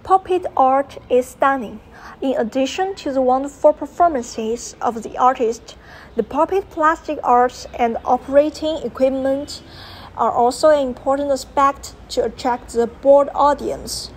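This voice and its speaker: Young female narrator, high voice, careful scripted documentary read, with some background noise. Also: a little slow, low-quality recording. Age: young adult.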